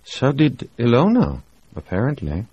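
A man's voice: mid-range voice, wildly swooping pitch, a little slow, warm tone, slightly rough, voice pushed up, heavily acted. A man voicing a sly, leering English ship's doctor who talks in a smug, teasing way. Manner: smug, teasing, with a crooked grin.

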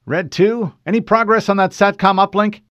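A man's voice: high-pitched, normal speed, fairly bright tone, no echo, lo-fi sound, pitched above the performer's own voice. A man voicing a disciplined male soldier who leads a squad and speaks in formal military radio talk. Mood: controlled.

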